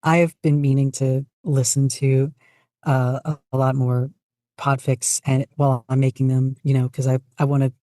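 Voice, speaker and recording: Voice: medium pitch, flat delivery, normal speed, dark tone, dry recording, studio quality. A podcast guest chatting casually about their own creative work in a hesitant, self-deprecating way. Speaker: podcast guest, fan fiction writer and audio narrator. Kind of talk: casual interview chat.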